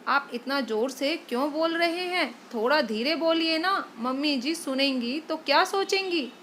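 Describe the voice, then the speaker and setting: A timid young wife with a soft, pleading way of speaking, as a woman reader plays her. The voice is high and bright over a faint hiss. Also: unhurried. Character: timid young wife, eager then tearful.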